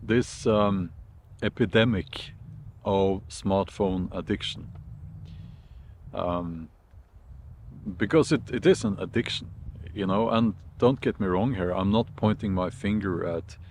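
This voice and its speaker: Norwegian man, middle-aged, lowish voice, slow reflective vlog delivery with fillers, recorded in the woods. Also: a little mellow, a little hiss or hum. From Norway. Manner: unhurried, thoughtful, with many fillers and restarts. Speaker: vlogger. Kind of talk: casual talk to camera. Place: woods outdoors.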